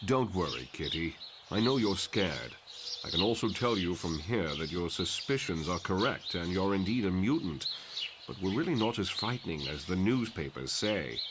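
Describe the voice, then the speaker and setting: A man playing a calm, authoritative headmaster, speaking formally and reassuringly in a comic drama. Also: deep voice, normal speed. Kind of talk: motion comic voice acting. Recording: loud noise behind the voice.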